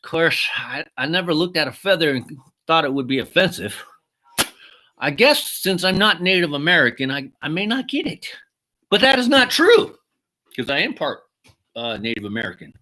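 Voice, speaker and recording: Excitable man with a bright voice. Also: a little high, normal speed. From Mexican heritage. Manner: excitable, swears casually, repeats "freaking awesome". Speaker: hat collector vlogger. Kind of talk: casual unboxing chat.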